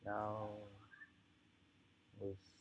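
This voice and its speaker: A man reading poetry aloud informally, musing and halting as he adds his own commentary in an unhurried way, on a dull home recording. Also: fairly low pitch, monotone, a little hiss or hum. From United States. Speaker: poetry reader.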